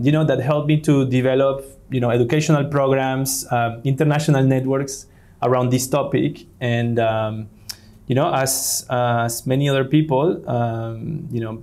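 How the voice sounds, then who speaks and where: A man's voice: medium pitch, a little mellow. A man from Venezuela, a guest in an interview, talking with many fillers and restarts. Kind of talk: conversational interview.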